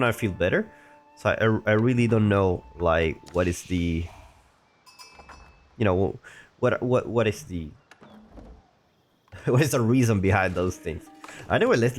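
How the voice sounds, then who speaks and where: Male game caster, slightly sick, low rough voice, rambling asides, faint music underneath. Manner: rambling, chatty, frequent restarts and asides. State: a little sick, voice deeper than usual. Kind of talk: casual play-by-play commentary.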